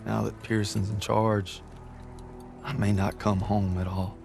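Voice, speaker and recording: An actor playing a weary young soldier, narrating quietly and somberly in a game cutscene. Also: male voice, lowish voice, little pitch movement, a little slow, creaky. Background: music.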